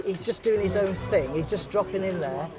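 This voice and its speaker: A man working as an air traffic controller, giving crisp procedural radio instructions. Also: high-pitched, a little fast, music in the background.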